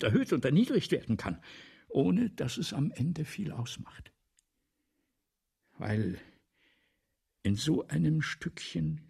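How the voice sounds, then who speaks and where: Radio play lead: bitter, grandiose writer, very husky, swings from insistent rhetoric to hushed whispers. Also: male voice, mid-range voice, full character voice. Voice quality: husky, gravelly, breaking into whispers. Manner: theatrical, rhetorical, building repetitions then sudden hushes. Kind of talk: German radio play monologue, 1970s.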